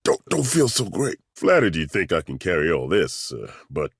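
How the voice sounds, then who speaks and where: An actor playing a gruff, folksy middle-aged male dwarf fighter with a dry, wry, plainspoken way of talking, in video game voice acting. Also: low voice, very animated, moderate pace, no echo, exaggerated voice. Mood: wry grumbling. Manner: dry, wry and plainspoken, with roaring battle cries. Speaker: party companion.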